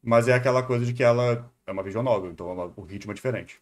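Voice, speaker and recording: A man's voice: medium pitch, rapid-fire. A Brazilian man giving casual, opinionated live reaction commentary as a streamer, thinking aloud conversationally.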